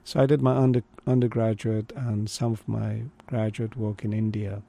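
A middle-aged man from Iran, a native Kurdish speaker, telling his life story as an interview guest in a thoughtful, halting way. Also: lowish voice, flat delivery, creaky, warm tone. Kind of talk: conversational interview.

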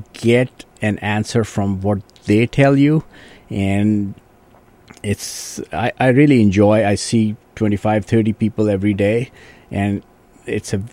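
A man from Pakistan whose first language is Urdu, speaking in a fairly low voice. He answers interview questions earnestly and thoughtfully, circling back and restarting his sentences as he goes. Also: warm tone, dry recording. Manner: earnest, thoughtful, with frequent restarts and "you know". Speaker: guest, orthopedic surgeon.

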